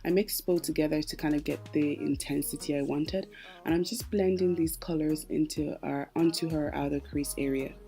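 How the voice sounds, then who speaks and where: A woman's voice: deep voice, fairly even intonation, normal speed, creaky, mellow, soft background music. A young woman narrating a makeup tutorial, chatty and explaining each step as she works. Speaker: makeup artist.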